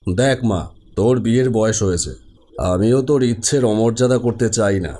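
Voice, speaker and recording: Mellow middle-aged father played by a man. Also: on the low side, fairly quick, lightly acted. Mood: gentle, firm. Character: patient, gently reasoning father of a grown daughter. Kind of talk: animated horror cartoon dialogue.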